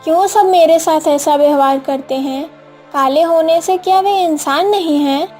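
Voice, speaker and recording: Woman playing a high-voiced, wronged, gentle daughter-in-law. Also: moderate pace, soft background music. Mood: hurt, pleading. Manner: gentle, plaintive. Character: kind, hardworking elder daughter-in-law, hurt and wronged. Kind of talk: cartoon moral-story acting.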